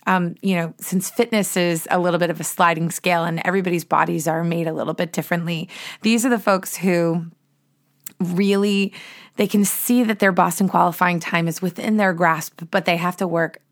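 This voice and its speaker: A middle-aged woman hosting a solo podcast, her voice hoarse, talking earnestly and with enthusiasm as a running coach. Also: fairly low pitch, fairly even intonation, normal speed.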